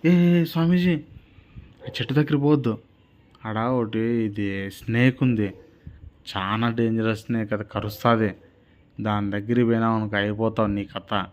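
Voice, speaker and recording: A man's voice: lowish voice, fairly even intonation, moderate pace, long pauses, close to an everyday voice. Man voicing urgent, frightened villager, Rayalaseema accent. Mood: urgent warning. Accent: Rayalaseema Telugu. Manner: urgent, repetitive, exclaiming. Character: frightened villagers warning of a dangerous snake.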